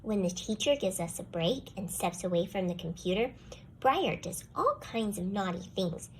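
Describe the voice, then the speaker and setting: A woman voicing a young schoolgirl telling a first-person storybook tale with expressive, put-upon delivery. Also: medium pitch, normal speed, slight background noise, low-quality recording. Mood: indignant. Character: jealous young schoolgirl, grudgingly softening toward the dog. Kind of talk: scripted children's storybook read-aloud.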